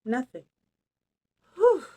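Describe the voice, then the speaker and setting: A woman reading a picture book aloud as a storytime host, expressive and chatty with casual asides. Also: very slow, muffled recording. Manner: animated, chatty, savouring phrases. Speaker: storytime reader.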